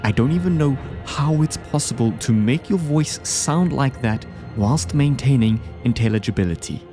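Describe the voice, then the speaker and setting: A man's voice: mid-range voice, expressive, moderate pace. A man giving enthusiastic, scripted video essay commentary, ranking game bosses. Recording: game music behind the voice. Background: music.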